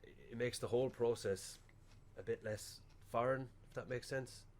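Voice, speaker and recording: An actor playing a well-meaning but bumbling Irish funeral director in a radio play, chatty, hesitant and rambling. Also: male voice, medium pitch, fairly even intonation, normal speed, a little hiss or hum, close to an everyday voice. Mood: solicitous, hesitant.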